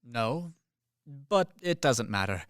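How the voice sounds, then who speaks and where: A man's voice: medium pitch, animated, slow, fairly bright tone, smooth, dry recording, studio quality. A man acting a dryly polite, pragmatic career soldier in a radio play, matter-of-fact and placating. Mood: cool, practical.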